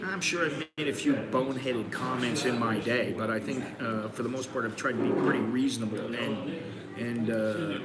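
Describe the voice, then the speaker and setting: A man's voice: mid-range voice, normal speed, very noisy. A man in his sixties from the United States hosts a casual live stream from a busy coffee shop, giving blunt, rambling advice with frequent restarts. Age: 60s. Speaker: host. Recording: roomy, crowded cafe. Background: crowd chatter.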